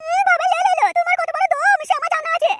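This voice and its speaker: Tiny-pitched bossy, flirty cartoon wife. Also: female voice, fairly even intonation, quick pace, very noisy. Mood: scornful. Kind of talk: comic cartoon dialogue. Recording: music bed behind the voice.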